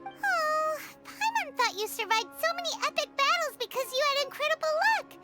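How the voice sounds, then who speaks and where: A woman voicing a tiny childlike fairy companion in a video game, squeaky and excitable, exclaiming and talking about herself in third person. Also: moderate pace, loud music behind the voice. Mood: teasing.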